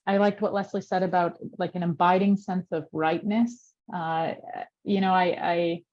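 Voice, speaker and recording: A woman's voice: lowish voice, steady tone, moderate pace, a little mellow. A woman moderating a panel over a video call. She thanks the speakers and talks casually, with "like" and "you know". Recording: slightly dull lo-fi audio.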